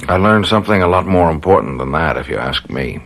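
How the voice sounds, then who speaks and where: An actor playing a shaken, blunt and earnest spy courier in a radio drama. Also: male voice, extremely low pitch, expressive, brisk, fairly bright tone, heavily acted. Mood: tender, earnest. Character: shaken male spy courier, indignant yet devoted husband.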